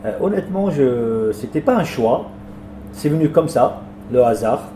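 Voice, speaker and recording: A man with a mellow, dark voice answers interview questions about his work. He stumbles and repeats words as he feels his way through each thought. The room echoes around him, with faint noise behind. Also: mid-range voice, expressive, unhurried. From France. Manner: hesitant, repeats words often, warm and plain. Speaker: restaurant owner.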